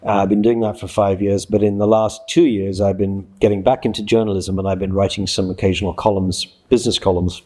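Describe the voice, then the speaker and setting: Male interviewee, about sixty, from Scotland. Low, slightly rough-edged voice, thoughtful and explanatory, self-correcting as he goes. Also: flat delivery, fairly quick, few pauses, mellow. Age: late 50s to early 60s. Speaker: interviewee, journalist and freelance writer. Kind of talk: reflective interview answers.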